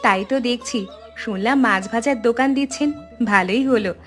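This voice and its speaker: A woman voicing a friendly, helpful tea-stall neighbour with a polite manner in an animated story. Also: fairly high pitch, normal speed, soft background music, lightly acted.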